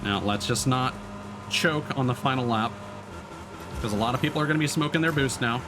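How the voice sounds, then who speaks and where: A man's voice: medium pitch, fairly quick, music in the background. A man streaming a game with casual, informal, sweary live commentary. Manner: informal, sweary, self-critical. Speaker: streamer, VTuber.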